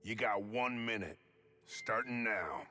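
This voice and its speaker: Forceful, low-voiced frontier foreman. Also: slow pace, soft background music. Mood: angry, threatening. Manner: folksy, forceful. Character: angry, wary frontier company foreman, man, stubborn and loyal. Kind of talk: dramatic video game dialogue.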